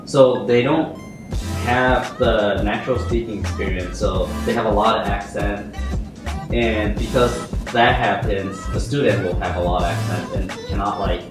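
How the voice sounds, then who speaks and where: Young Japanese man, lowish voice, thoughtful panel chat; far mic, roomy echo. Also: a little slow. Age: young adult. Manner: thoughtful, laughs often. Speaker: student panelist. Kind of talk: casual discussion. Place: lounge with large windows. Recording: echoey room, from far off, music behind.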